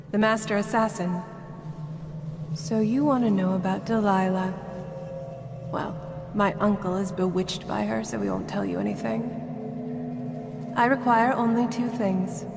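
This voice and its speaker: A woman's voice: mid-range voice, creaky. A cold, scheming noblewoman. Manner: imperious and transactional. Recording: reverberant room with background noise.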